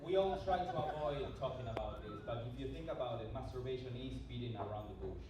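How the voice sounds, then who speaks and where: A man from Argentina with an Argentine Spanish accent doing a halting, self-deprecating stand-up routine at an open mic. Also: medium pitch, lively intonation, a loud crowd. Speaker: stand-up comedian. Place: small bar open mic. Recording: echoey bar, distant mic, loud background noise.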